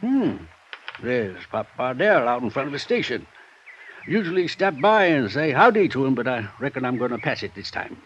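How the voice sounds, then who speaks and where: An actor playing an old, shrewd prospector who has lived alone in the mountains for decades, talking in a drawling rural dialect, in a 1950s radio drama. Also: male voice, medium pitch, sing-song, moderate pace, fairly bright tone. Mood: folksy. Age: older. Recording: dull old radio broadcast with faint hiss.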